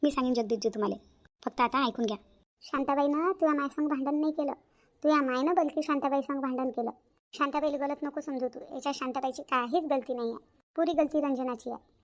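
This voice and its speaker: A woman's voice: high-pitched, steady tone, fast, natural voice. A woman playing an outspoken village aunt in a cartoon family drama, with a Varhadi Marathi accent from Vidarbha and an emphatic, repetitive, colloquial way of talking. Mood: insistent. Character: outspoken village aunt, sister-in-law, frank peacemaker.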